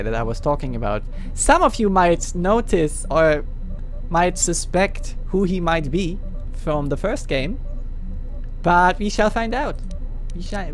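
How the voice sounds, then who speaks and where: A man from Germany with a German accent, giving casual live game commentary, hesitant and chatting to viewers. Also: fairly high pitch. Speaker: livestreamer, game host. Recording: faint game ambience behind.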